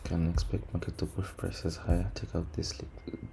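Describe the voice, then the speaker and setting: A man with a low, creaky voice gives casual, hesitant, low-energy commentary as he works through a trading screen, repeating words as he thinks aloud. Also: level tone, normal speed. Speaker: trader recording a backtesting session. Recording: noisy room, keyboard and typing sounds.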